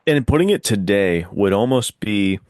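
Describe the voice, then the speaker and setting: A man's voice: fairly low pitch, animated, moderate pace, darkish tone, no room sound, studio quality. A man hosting a casual podcast, talking in an informal, slangy and enthusiastic way.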